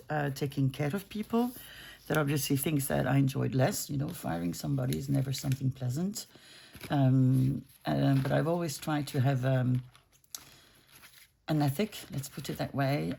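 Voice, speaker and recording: A woman's voice: a bass voice, gravelly, dark tone, slight background noise. A woman in her fifties chatting casually at her home craft desk while she works, rambling and breaking off mid-thought. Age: 50s. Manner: rambling, self-interrupting, easygoing. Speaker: junk journaling crafter.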